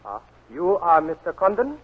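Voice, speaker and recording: An actor playing an insistent, deferential porter with a Japanese accent and clipped broken English in a 1940s radio drama. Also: male voice, high-pitched, huge pitch swings, normal speed, breathy edge, heavily acted. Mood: politely insistent. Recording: tinny, scratchy 1940s radio recording.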